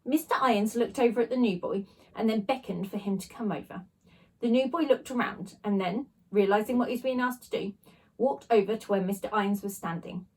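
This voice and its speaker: A woman teacher reading a children's storybook aloud with expressive narration. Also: medium pitch, a little fast, slightly rough, poor audio.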